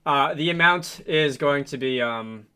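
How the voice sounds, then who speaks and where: A man with a fairly high, bright and crisp-edged voice talks through a programming tutorial. He speaks casually and thinks aloud, pausing and hesitating as he works things out. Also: slight background noise. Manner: halting, improvised delivery with frequent hesitations. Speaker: tutorial host, game developer.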